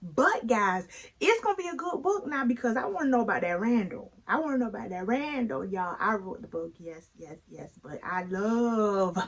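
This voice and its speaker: A woman hosting a read-aloud of her own book, chatty and playful, speaking emphatically with dramatic pauses. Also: mid-range voice, lively intonation. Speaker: author and read-aloud host.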